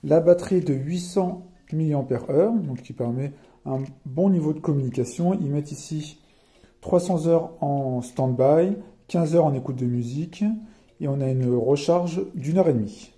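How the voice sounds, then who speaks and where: A man's voice: fairly high pitch, moderate pace, mellow. A man with a French accent casually walks through a product as a reviewer, reading out specs and explaining them as he goes.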